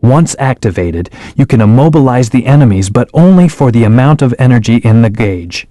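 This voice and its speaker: A cool, aloof male hedgehog hero from a video game, voiced by a man in a mid-pitched voice. He speaks in calm, terse commands, and the studio sound is dry and close. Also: a little fast, no room sound, close to an everyday voice. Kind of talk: video game voice acting, tutorial hints. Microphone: studio microphone.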